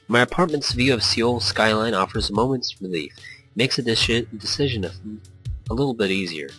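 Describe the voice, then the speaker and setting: Low-voiced man reading a visual novel aloud. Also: lively intonation, a little fast, bright, forceful tone, soft background music. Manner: stumbles over words while reading. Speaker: let's-play host.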